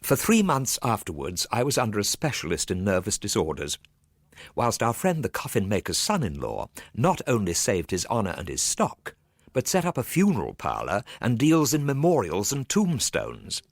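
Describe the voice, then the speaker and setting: A male storyteller with a low, slightly rough voice reads a comic ghost story. His delivery is theatrical and highly varied in pitch, with careful old-fashioned diction, recorded close and dry. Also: wildly swooping pitch, moderate pace, no room sound. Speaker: storyteller, actor. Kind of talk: dramatic first-person narration of a comic ghost story.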